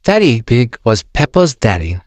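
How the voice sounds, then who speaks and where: A man narrating a children's storybook aloud in an expressive, sing-song way, close to the mic. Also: medium pitch, a little slow, no echo.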